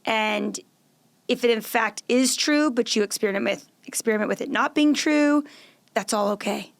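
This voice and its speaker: A woman's voice: mid-range voice, vocal fry, no room sound. A woman in her late thirties hosting a podcast, upbeat and encouraging as she asks questions. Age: 30s. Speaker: podcast host. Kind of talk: casual interview chat.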